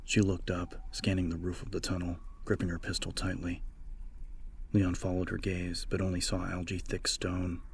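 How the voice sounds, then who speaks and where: A man's voice: low voice, completely flat delivery, normal speed, gravelly, slight background noise. A man reading scripted horror fiction as a dramatic audiobook narrator.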